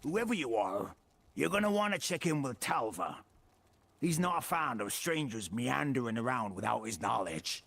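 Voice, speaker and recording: An actor playing a roguish, chummy port guide in a fantasy game, with a raspy, breezy, colloquial delivery. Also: male voice, medium pitch, very animated, moderate pace, fairly bright tone, exaggerated voice.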